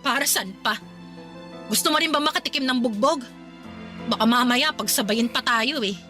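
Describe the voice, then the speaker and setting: Actress as high-pitched, sharp-tongued Filipino young woman. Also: normal speed, over music, lightly acted. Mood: stern. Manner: sarcastic, blunt, scolding. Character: defiant pregnant young student, bitter, sharp-tongued girlfriend. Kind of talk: Filipino radio drama acting.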